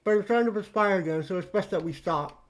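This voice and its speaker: A man's voice: sits high, fast, lightly acted. Haughty male troll aristocrat played by a man, pompous and curt, stilted formal delivery for a comic reading. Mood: pompous, troubled.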